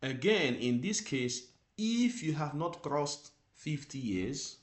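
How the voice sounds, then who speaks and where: A man teaching driving theory, reading out Italian rules and explaining them slowly in English with lots of repetition. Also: a little high, very animated, reverberant. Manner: reads Italian text then explains it in English, repeating terms. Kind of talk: slow explanatory lesson.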